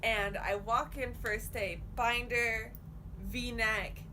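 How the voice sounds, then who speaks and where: Woman, high voice, casual relaxed chat, noisy background hiss. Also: very slow. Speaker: guest co-host.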